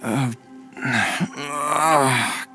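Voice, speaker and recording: An actor playing an anguished man struggling with broken memories in a video game, halting and emotional. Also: medium pitch, pushed and bright, soft background music, heavily acted. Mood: pained recollection. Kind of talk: video game character acting.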